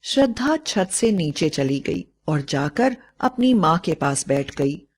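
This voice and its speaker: A woman reading a scripted bedtime moral story in a measured, expressive storytelling way. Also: low voice, slightly rough.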